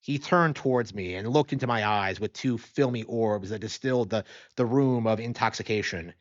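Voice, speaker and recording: A man's voice: on the low side, normal speed, lo-fi sound. A man narrating a story as an audiobook reader in a dramatic, scripted style.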